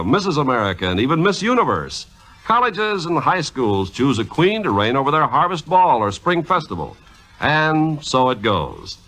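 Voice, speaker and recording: A man's voice: medium pitch, pushed and bright, rough recording. A man reading scripted 1950s radio narration in a formal, declamatory manner. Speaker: radio intermission speaker.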